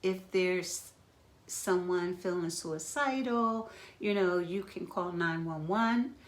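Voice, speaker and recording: A woman therapist hosting a live stream, talking gently and reassuringly, slightly congested from asthma. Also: on the low side, takes it slowly. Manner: gentle, reassuring, guides breathing exercises. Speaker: therapist and host of a live talk. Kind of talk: slow, caring live-stream talk. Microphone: phone or webcam live feed.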